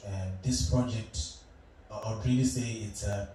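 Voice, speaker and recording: Brief: young man from Zimbabwe, low voice, relaxed musician guest, far from the mic in a very echoey noisy room. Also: moderate pace. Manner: relaxed, hesitant, many fillers and laughs. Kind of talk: casual interview chat. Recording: roomy, distant, noisy room.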